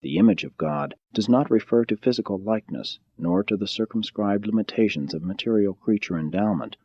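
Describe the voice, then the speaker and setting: Mellow, slightly husky low-voiced male narrator. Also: dull audio. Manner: measured, solemn, formal reading. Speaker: audiobook narrator. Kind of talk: scripted audiobook narration of a spiritual text.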